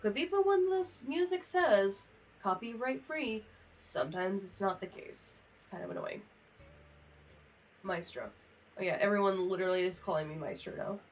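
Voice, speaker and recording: A woman's voice: mid-range voice, moderate pace. Distant-sounding young woman chatting on stream. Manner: rambling, chatty asides. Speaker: livestreamer. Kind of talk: casual livestream chat while gaming. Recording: distant, slightly muffled mic.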